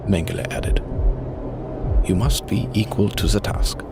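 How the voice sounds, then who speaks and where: Man reading as a somber low-voiced doctor witness. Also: unhurried. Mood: grim, restrained. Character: male doctor soberly recounting his grim eyewitness memoir. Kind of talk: dramatic reading of written testimony. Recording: music behind the voice.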